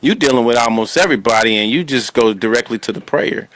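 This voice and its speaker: Male talk show host, informal and conversational, repeats himself for emphasis, mid-pitched. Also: fast talker, a bit bright, dry recording, poor audio. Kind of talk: casual conversational interview.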